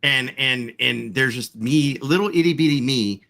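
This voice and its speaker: A man's voice: medium pitch, normal speed, gravelly, bright, forceful tone. A man in his forties chatting casually, rambling with lots of fillers and the odd swear. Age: 40s. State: chronic back pain. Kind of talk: casual chat.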